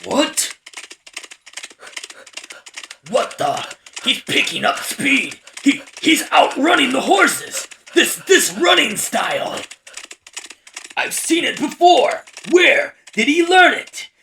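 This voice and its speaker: Fan-dub pursuer on horseback: man playing an outraged tribesman, piercing high shouts, gravelly edge, faint noise. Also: very animated, slow pace, heavily acted. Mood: incredulous. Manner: outraged, incredulous yelling with dramatic emphasis. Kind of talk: amateur anime fan dub.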